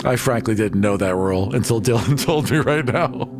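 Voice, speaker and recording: A man co-hosting a podcast, chatting casually about tabletop gaming and musing out loud. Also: medium pitch, expressive, normal speed, music in the background.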